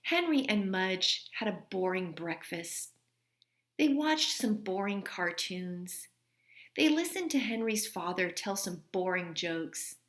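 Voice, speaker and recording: A woman reading a children's story aloud expressively and deliberately, as a teacher speaking to young listeners. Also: medium pitch, expressive, fairly bright tone.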